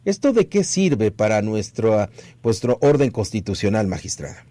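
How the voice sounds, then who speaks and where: A man from Mexico hosts a radio interview, putting pointed questions to his guest and pushing his pitch up when he presses a point. His voice is warm and dark, recorded dry and close in the studio. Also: sing-song, normal speed, no room sound. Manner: pointed, questioning, rising on emphasis. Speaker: radio host.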